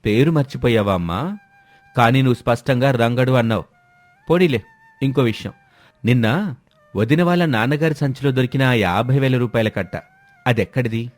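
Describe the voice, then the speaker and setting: A man's voice: mid-range voice, moderate pace, pauses often, dry recording, lightly acted. Man as righteous young son in a moral story, probing and accusatory, music under him. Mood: accusing. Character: outraged younger son exposing his scheming mother.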